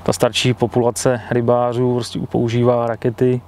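A man in his thirties answers questions outdoors by a lake, speaking through a clip-on mic. His delivery is plain and matter-of-fact, with little rise and fall in pitch. A faint outdoor hiss sits beneath his voice. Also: completely flat delivery, darkish tone. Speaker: carp angler being interviewed. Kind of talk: relaxed outdoor interview. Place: outdoors by a lake, seated at a fishing bivvy.

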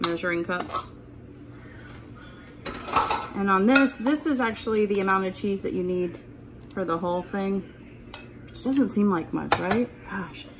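A woman's voice: medium pitch, background noise. A woman talking informally and thinking aloud as she hosts a casual meal-prep demonstration at home. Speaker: host of a keto cooking channel. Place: indoors at home, kitchen work surface.

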